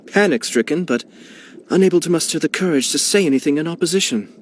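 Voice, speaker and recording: An actor voicing a genteel, scheming young male clan head in a video game, speaking in a smooth, measured and courteous way with quiet amusement. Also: a little high, slight background noise, close to an everyday voice. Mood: composed. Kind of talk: video game character voice lines.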